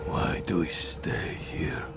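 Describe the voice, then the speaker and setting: An actor playing a hulking, dim, hungry monster in a video game, growling gravelly, broken phrases. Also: male voice, whispered, loud music behind the voice, natural voice. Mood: hungry, sullen. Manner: slow, broken, simple phrases. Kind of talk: dramatic video game acting.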